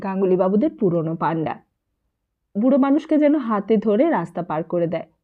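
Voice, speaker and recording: A woman reads a literary novel as an expressive audiobook storyteller, carrying the dialogue within her narration. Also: mid-range voice, a little fast. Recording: muffled, narrow phone-like sound.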